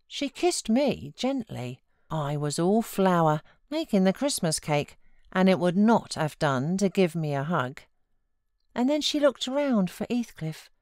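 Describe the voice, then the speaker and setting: A British woman narrates a classic novel in an expressive, practised audiobook style. Her voice sits a little low for a woman, and the recording is dry, with no room around it. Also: no room sound.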